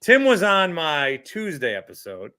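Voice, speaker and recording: A man's voice: fairly high pitch, wildly swooping pitch, a little slow, pushed and bright. A man hosting a casual sports podcast, easygoing and jokey as he chats with a guest. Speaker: podcast host.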